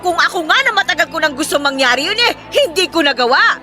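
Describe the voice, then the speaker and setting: A woman playing a bitter, sharp-tongued betrayed older wife in a Filipino radio drama, scornful, taunting and emphatic. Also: very high voice, lively intonation, quick pace, soft background music, full character voice.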